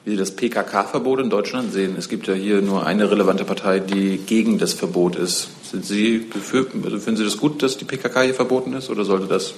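Deep-voiced journalist asking questions. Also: male voice, steady tone, brisk, few pauses, darkish tone, a little hiss or hum. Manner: informal, plain phrasing. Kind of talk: press conference question.

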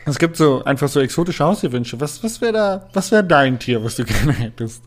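Male co-host from Berlin, casual, hesitant with filler pauses, remote call. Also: fairly high pitch, expressive. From Berlin, Germany. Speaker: podcast co-host. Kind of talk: casual chat.

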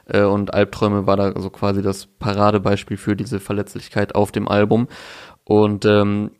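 A man's voice: on the low side, completely flat delivery, moderate pace, darkish tone, clean tone, no echo, studio quality. A young man in casual chat, talking through a music release with fillers and restarts. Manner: thoughtful, many fillers and restarts. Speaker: podcast co-host discussing music.

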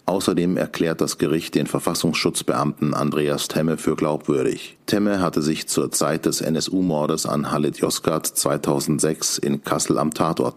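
A sober news announcer in a radio play. His voice is extremely deep, and he reads a scripted bulletin in a formal news style.

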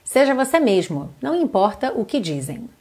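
Brief: woman from Brazil, English teacher, high-ish voice, careful slow reading of lines. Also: very animated, a little mellow, breathy edge. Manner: reads English lyrics slowly and carefully, then translates. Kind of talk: online language lesson.

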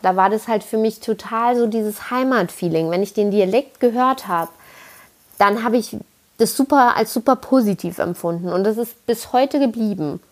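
A young woman from the Palatinate talks into a podcast mic with a faint Palatinate accent. She chats in a loose, self-mocking way, often starting a sentence over. Her voice sits at an ordinary pitch for a woman. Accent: slight Palatinate German. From Palatinate, Germany. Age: 20s. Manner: chatty and self-ironic, rambling with many restarts. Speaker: podcast host. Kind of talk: casual podcast monologue. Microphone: podcast microphone.

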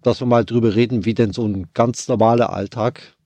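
A man's voice: mid-range voice, steady tone, brisk, dark tone, no room sound, highs cut off. A middle-aged man with a Bavarian accent, hosting a podcast with a scripted intro and then casual chat, friendly with some restarts. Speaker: podcast host, orchestra violist.

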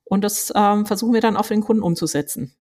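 A woman in her forties with a Franconian German accent gives a business interview. She explains her company's work at length and often pauses on fillers. Her voice is at a middle pitch for a woman. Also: a little mellow. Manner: talks at length with many hesitation fillers. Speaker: company executive guest.

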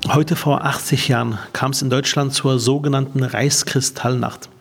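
A man's voice: mid-range voice, fairly even intonation, moderate pace, faint music behind. A man in his forties from Berlin, presenting and asking prepared interview questions in German. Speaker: interviewer, politician. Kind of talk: scripted introduction and interview questions.

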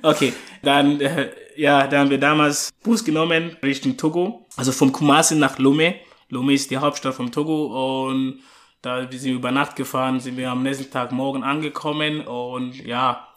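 A thirty-year-old man from Ghana, talking casually and a little haltingly as an interview guest, with a joking streak. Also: mid-range voice, level tone, fairly bright tone. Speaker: interview guest, personal trainer. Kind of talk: casual personal storytelling.

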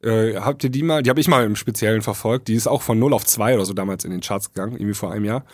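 A man's voice: lowish voice, racing, dry recording, studio quality. A man with a German accent co-hosting a casual podcast discussion, explaining things and often seeking agreement with little tag questions. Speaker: podcast co-host.

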